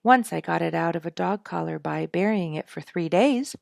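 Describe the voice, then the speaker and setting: A woman voicing a knowing, calm outdoorsman in a storybook, measured, helpful and gently wry. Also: on the low side, gravelly, dry recording, clean studio sound.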